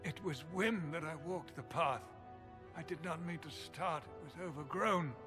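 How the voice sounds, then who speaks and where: An actor playing a dying, devout chancellor in a video game, speaking in a weak, breathless, halting and reverent way. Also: male voice, a little high, over music, natural voice. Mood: pained wonder. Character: dying male chancellor cleric, frail, earnest, devout. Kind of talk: dramatic video game acting.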